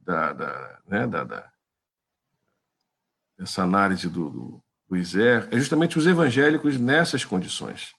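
A man interviewing a guest, working out his questions slowly with repeated false starts. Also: low-pitched, animated, vocal fry, a bit bright, slightly rough. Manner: searching, hesitant phrasing with repeated false starts.

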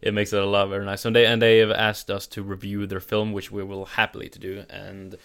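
A man from Norway with a Norwegian accent, co-hosting a casual film review podcast, halting with restarts and laughs. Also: on the low side, completely flat delivery, fairly bright tone, clear, resonant tone. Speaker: podcast co-host.

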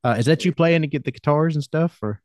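A man's voice: on the high side, fast talker, darkish tone, no echo, studio quality. Growly-voiced male host, casual and chatty. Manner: easygoing, chatty, talks with restarts. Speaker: podcast host. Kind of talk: casual interview chat.

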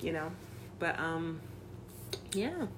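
A woman's voice: deep voice, big pitch swings, slow pace, background noise. A woman in her thirties in casual chat, playful and teasing with slang.

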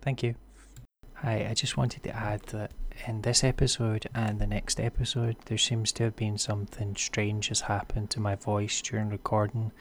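Hesitant deep-voiced podcast host. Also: male voice, flat delivery, moderate pace, slight background noise. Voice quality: unusually deep voice from a call glitch. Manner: hesitant, many fillers and restarts. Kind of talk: podcast intro and interview questions. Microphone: video call.

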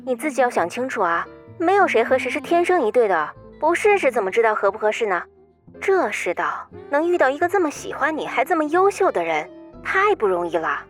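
Lively high-pitched young best friend. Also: female voice, a little fast. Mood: encouraging. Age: young adult. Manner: teasing, encouraging. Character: playful, outspoken young female best friend. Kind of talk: audio drama phone conversation. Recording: faint music bed behind the voice.

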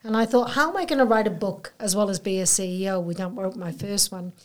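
A woman's voice: mid-range voice, quick pace. Welsh woman, earnest and motivational. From Wales. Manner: earnest, motivational, speaks in full stories. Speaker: council chief executive, guest. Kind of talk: casual interview.

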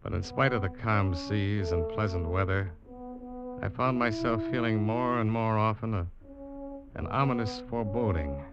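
An actor playing a determined but uneasy American snake hunter, narrating his expedition with dramatic, deliberate delivery in an old radio drama. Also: lowish voice, close to an everyday voice. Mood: brooding narration. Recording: muffled old radio recording with music.

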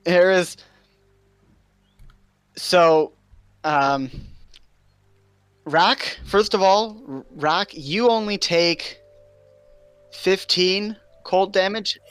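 A man running a tabletop game as game master, narrating scenes in a drawn-out way and laughing often with his players. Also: high-pitched, a bit bright, clear, resonant tone, dry recording, soft background music.

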